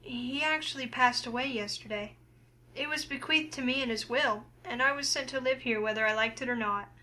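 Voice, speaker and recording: A woman with a high, bright voice reads a story game aloud. She moves between narration and acted dialogue for the heroine. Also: a little fast. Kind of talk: reading a visual novel aloud, narration and heroine's lines acted.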